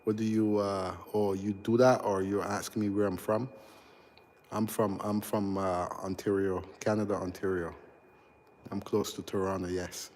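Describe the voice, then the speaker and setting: A man in his forties, an electrical contractor hosting a casual live stream as he walks through a big unfinished house, answering viewers in a halting, chatty way full of ums and uhs. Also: lowish voice, flat delivery, a little mellow, a little hiss or hum. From Barbados. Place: large unfinished house under construction, indoors.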